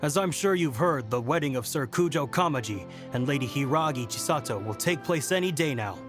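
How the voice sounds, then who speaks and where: A man's voice: mid-range voice, expressive, brisk, close to an everyday voice. A man voicing a courteous, dutiful guard in a video game, formal and reassuring. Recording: game music behind the voice.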